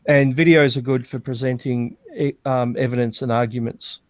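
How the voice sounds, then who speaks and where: A man hosting a casual online stream and talking bluntly. He often repeats words as he begins a sentence. His voice sits at an ordinary mid pitch, and it sounds dry and close. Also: steady tone, moderate pace, no echo. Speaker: stream host.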